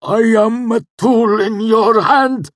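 A man voicing a cruel, arrogant henchman in a fantasy audiobook, cowed into servility, with a strained, breathy delivery. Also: on the low side, animated, slow pace, no room sound, clean studio sound, pitched above the performer's own voice, heavily acted. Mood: agonized, choked. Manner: snappish turning to choked, submissive pleading.

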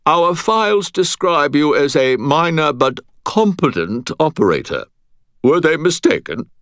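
A man's voice: on the high side, big pitch swings, measured pace, a bit bright, clear, resonant tone, dry recording, clean studio sound, voice pushed up. A man voicing a haughty aristocratic wizard lawman for an audiobook, with a pompous, drawling British accent. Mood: haughty, condescending. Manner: pompous, drawling, exaggeratedly snooty.

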